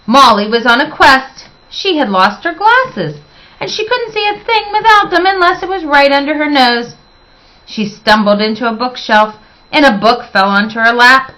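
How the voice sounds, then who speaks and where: A woman reading a children's storybook aloud as expressive narration, with dramatic, emphatic phrasing. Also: high-pitched, normal speed, poor audio.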